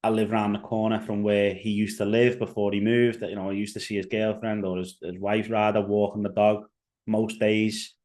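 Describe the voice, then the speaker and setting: A man's voice: fairly low pitch, flat delivery, moderate pace, a little mellow. A young man with a Liverpool accent giving casual solo commentary as a podcast host, rambling with fillers and restarts. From England.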